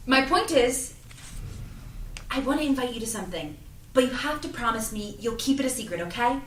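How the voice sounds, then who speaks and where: A woman's voice: fairly high pitch, expressive, fairly quick, slight background noise, close to an everyday voice. Woman as earnest teenage girl, roomy distant mic. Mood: secretive, earnest. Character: earnest, secretive teenage girl, friendly shop helper. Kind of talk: audio drama cast table read.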